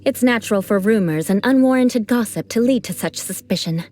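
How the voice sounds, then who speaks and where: A woman's voice: medium pitch, a little fast, a little mellow, close to an everyday voice. A woman playing a haughty young noble knight. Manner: formal, haughty, dryly ironic. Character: proud young aristocratic female knight, aloof. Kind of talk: game voice acting.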